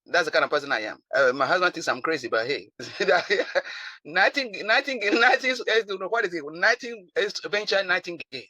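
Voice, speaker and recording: A woman in her seventies with a Ghanaian accent, answering interview questions over a video call, animated and quick to repeat and restart her words. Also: fairly low pitch, no room sound. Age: 70s. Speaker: client giving a testimonial.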